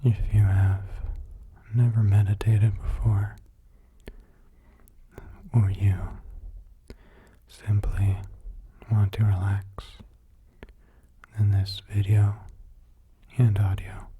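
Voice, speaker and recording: A man softly guiding a meditation in a hushed, breathy, mostly whispered ASMR voice, soothing, in short halting phrases. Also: lowish voice, little pitch movement, a little hiss or hum. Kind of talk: soft-spoken ASMR guided meditation.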